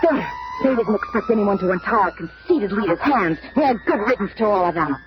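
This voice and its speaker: An actress playing a bitter, indignant superheroine, full of scorn, in a 1970s radio drama. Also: female voice, medium pitch, animated, brisk, lightly acted. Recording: very noisy muffled old radio recording.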